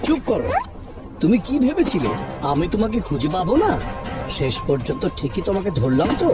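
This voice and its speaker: A woman's voice: on the low side, wildly swooping pitch, fairly quick. A woman voicing a menacing, evasive villain in a dubbed cartoon, emphatic and theatrical. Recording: loud music behind dialogue.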